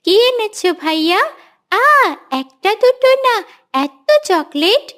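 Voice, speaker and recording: A woman voicing a sweet little sister in an animated moral story, childlike and wheedling. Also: very high-pitched, slow, heavily acted. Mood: excited. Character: sweet little girl, excited younger sister.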